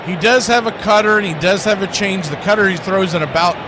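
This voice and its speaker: A man's voice: sits high, brisk, noisy background. A man calling a live baseball game as a radio play-by-play announcer. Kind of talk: live sports commentary.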